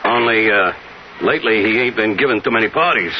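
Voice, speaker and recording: An actor playing a plainspoken working-class driver, slangy and unpolished, in old-time radio drama style. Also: male voice, on the low side, a little fast, close to an everyday voice. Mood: offhand, wary. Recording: dull, noisy old radio recording.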